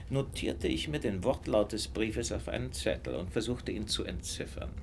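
An actor playing a smug, brilliant detective in a radio drama, with an ironic, drawn-out delivery. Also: male voice, on the low side, slight background noise. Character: brilliant male detective, smug, theatrical and condescending.

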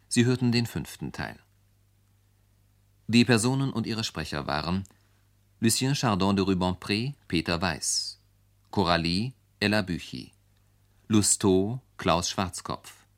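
A male radio announcer reads out credits in a formal style. His voice is low and his delivery is measured, with an unhurried, slow pace. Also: a bit bright. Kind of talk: formal reading of closing credits.

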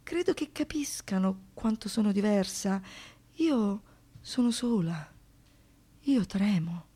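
A woman playing a young survivor in a radio theatre monologue, shaken but defiant, speaking intensely and confidingly in short halting phrases. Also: medium pitch, lively intonation, dark tone, breathy edge. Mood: lonely, trembling. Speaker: monologue performer and narrator.

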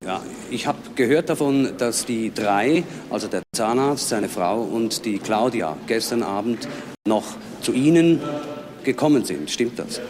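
A man's voice: medium pitch, lively intonation, measured pace. A man from Switzerland asking questions as a press interviewer. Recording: busy location with crowd chatter.

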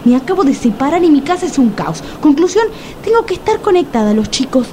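A woman's voice: high-pitched, very animated, brisk, mellow, slight background noise. An actress with a Rioplatense Spanish accent playing a nervous, suspicious woman who has just separated. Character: anxious newly separated woman, Cecilia.

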